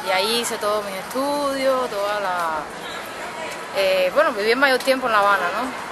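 Cuban woman talking over loud street noise. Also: on the high side, moderate pace. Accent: Cuban Spanish. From Cuba. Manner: relaxed, chatty, many tag questions. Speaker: percussionist guest. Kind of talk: casual interview answers. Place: outdoors at night by a city street, beside drums.

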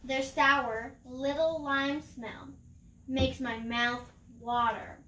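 A woman's voice: high voice, fairly even intonation, extremely slow, bright, forceful tone. A girl of about ten carefully reading a children's picture book aloud. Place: small room at home, indoors. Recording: roomy home recording.

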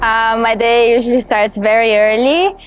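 A young woman from Brazil speaks English with a Brazilian Portuguese accent, her voice sitting fairly high. She answers questions cheerfully, laughing often and restarting her phrases. She is outdoors on a city terrace, speaking into a clip-on mic, with faint music underneath. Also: fairly even intonation, moderate pace, poor audio. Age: young adult. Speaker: culinary student. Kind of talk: interview answers.

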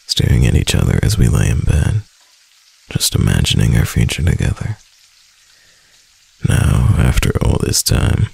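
Man doing a sappy clingy husband, very deep, gravelly, husky, slow and close. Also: full character voice, rough recording. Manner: soft, intimate, sappy. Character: doting clingy young husband, affectionate and playful. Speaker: voice actor. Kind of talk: boyfriend roleplay ASMR.

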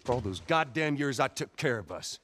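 An actor playing a weary, stubborn middle-aged survivor in a video game, with a Southern US accent and a terse, gruff manner. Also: male voice, medium pitch, lively intonation, a little fast, a bit bright, slight background noise, natural voice. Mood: angry. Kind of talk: cinematic video game acting.

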